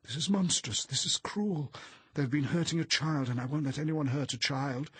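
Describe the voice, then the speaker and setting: A man voicing a frightened, highly strung woman who steels herself against terror, intense and insistent, in a storybook reading. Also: fairly even intonation, unhurried, gravelly, fairly bright tone, husky, no echo, full character voice. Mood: indignant, resolute.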